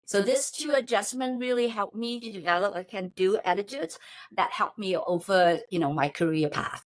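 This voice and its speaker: A woman's voice: medium pitch, normal speed, no room sound. A woman from Hong Kong with a Chinese accent, answering interview questions and telling personal stories as a native Chinese speaker. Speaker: interview guest, technology company chief of staff.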